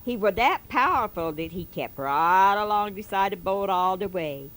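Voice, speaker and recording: A woman's voice: mid-range voice, expressive, moderate pace, fairly bright tone, natural voice. A fervent old-time male preacher drawls through a parable in heavy dialect, as a woman puts him on. He exhorts his flock and keeps circling back with repetitive asides, in the manner of a comic sermon recitation. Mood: preaching.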